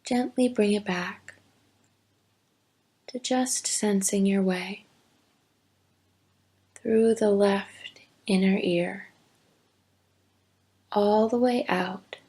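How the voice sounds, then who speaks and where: A woman teaching yoga nidra, giving gentle, deliberate guided meditation instructions with long pauses. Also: medium pitch, husky. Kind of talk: slow guided meditation narration.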